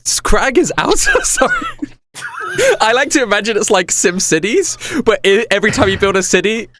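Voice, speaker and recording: A man's voice: high-pitched, moderate pace. A man acts as game master and host of a tabletop session. His voice sits a bit above average and swings about a lot. He banters casually and giggles easily. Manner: giggly, animated.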